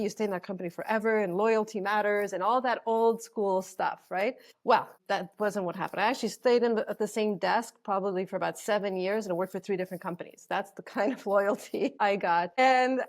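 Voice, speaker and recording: Woman in her 40s, coach on a podcast, thoughtful and earnest, talks in long flowing stretches, mid pitch. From Canada. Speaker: guest, life coach. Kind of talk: reflective storytelling interview.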